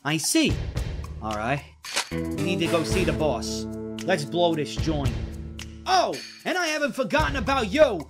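A man's voice: sits high, sing-song, measured pace, pauses often, pitched above the performer's own voice. A man voicing a bossy, curt, menacing gangster enforcer in a cartoon crime story. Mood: impatient, threatening. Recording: music under the voice.

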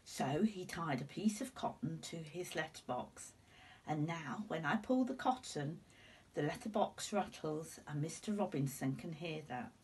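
Husky older woman reading a storybook, unhurried. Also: sits low. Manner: deliberate, animated telling. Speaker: teacher reading a picture book to children. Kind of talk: expressive storybook narration. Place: indoors at home, seated before curtains. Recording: slightly distant room microphone.

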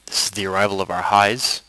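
A man narrating a software tutorial as an instructor, explaining things step by step. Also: fairly low pitch, no echo, rough recording.

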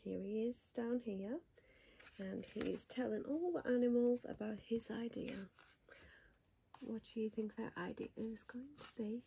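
A woman reading a bedtime picture book to a child in a soft whisper, gasping and asking the child questions. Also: a little high, unhurried, creaky, a little hiss or hum, poor audio. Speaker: bedtime story reader.

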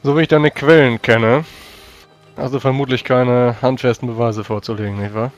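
A man of average pitch improvises dialogue in a role-playing game. His phrasing is formal and measured, and quiet music runs behind him. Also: fairly even intonation, dull audio. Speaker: tabletop role-playing player.

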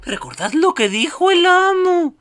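A man's voice: extremely high pitch, very animated, unhurried, bright, forceful tone, full character voice. A man voicing a fussy, pompous, anxious talking clock butler in a cartoon dub, formal in manner, with a Castilian Spanish accent. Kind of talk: cartoon fandub audition.

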